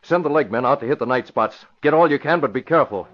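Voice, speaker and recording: An actor playing a decisive young newspaper publisher in an old radio crime drama, briskly giving urgent orders with authority. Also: male voice, medium pitch, natural voice. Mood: brisk, commanding. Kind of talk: 1940s radio acting. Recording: muffled, noisy vintage radio broadcast.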